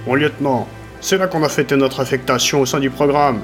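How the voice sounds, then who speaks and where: A man's voice: medium pitch, fairly even intonation, fairly quick, soft background music, voice pushed up, lightly acted. A man voicing an eager male soldier who speaks up keenly.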